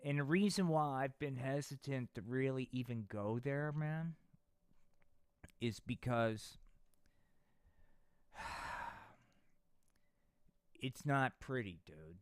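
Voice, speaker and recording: A man's voice: medium pitch, expressive, slow, long pauses, clear, resonant tone, no room sound. A man hosting a live puppet show, narrating in a rambling, profane, slangy way. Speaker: puppeteer host.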